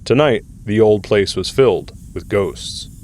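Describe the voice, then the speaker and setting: A man's voice: on the low side, measured pace, a little mellow, slight background noise. A man narrating a scripted audio drama in the role of storyteller.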